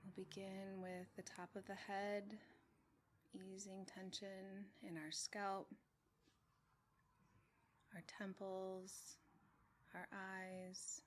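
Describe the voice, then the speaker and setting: A woman meditation teacher leading a guided meditation in a gentle, measured way, with long pauses between short phrases. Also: medium pitch, flat delivery. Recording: clearly noisy with room ambience.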